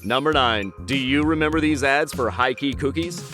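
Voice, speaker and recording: A man's voice: medium pitch, normal speed, music in the background. A man reading a scripted pop-culture countdown as a video narrator.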